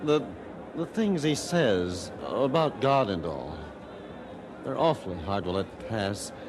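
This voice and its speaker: Uneasy townsman, grumbling, hesitant, folksy, swooping intonation, audio drama, clear outdoor noise behind. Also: fairly low pitch, big pitch swings, slow pace, exaggerated voice. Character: uneasy, grumbling townsman, gruffly doubtful yet wavering.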